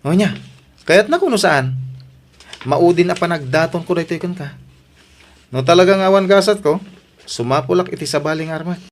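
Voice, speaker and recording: A man's voice: fairly high pitch, lively intonation, normal speed, pauses often, a little hiss or hum, natural voice. A man with an Ilocano Filipino accent playing an impatient young suitor in an audio drama, insistent and pressing. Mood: insistent wooing. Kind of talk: radio drama acting.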